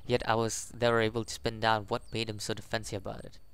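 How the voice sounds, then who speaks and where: Young man reading game text haltingly. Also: fairly low pitch, completely flat delivery, creaky, fairly bright tone, clear, resonant tone. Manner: halting reading, stumbles over words. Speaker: gaming YouTuber. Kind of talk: casual let's play, reading game text aloud with commentary.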